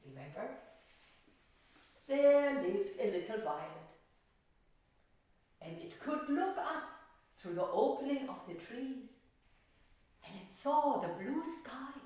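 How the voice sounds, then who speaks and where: A woman telling a story and teaching painting to young children in simple, unhurried phrases. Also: a little high, darkish tone. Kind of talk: gentle storytelling and craft instruction for children. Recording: very echoey room, distant microphone.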